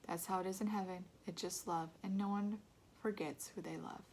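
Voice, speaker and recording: A woman voicing a loving, gentle mother in a storybook, speaking in a soothing, affectionate manner. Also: mid-range voice, fairly even intonation, normal speed, a little hiss or hum, lightly acted. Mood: tired, tender.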